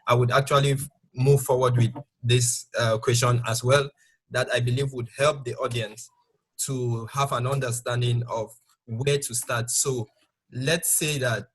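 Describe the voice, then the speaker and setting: A man hosting an online interview, asking long, carefully framed questions in a polite, measured way over a video call. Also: medium pitch, breathy edge, rough recording. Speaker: host interviewer.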